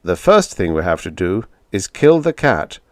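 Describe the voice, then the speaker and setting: A calm, blunt visitor from the future, read by a man in close to his own voice. The delivery is flat, firm and matter-of-fact. Dry, close sound. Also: sits low, moderate pace, no echo.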